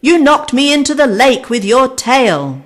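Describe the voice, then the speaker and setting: A woman voicing an angry male velociraptor who yells and screams. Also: sits high, sing-song, a little slow, pitched above the performer's own voice. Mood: furious. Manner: yelling, furious.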